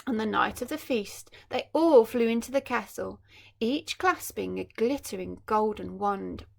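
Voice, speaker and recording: A woman's voice: fairly high pitch, expressive, measured pace, gravelly, darkish tone. A woman primary school teacher reading a story expressively, with explanatory asides for young children.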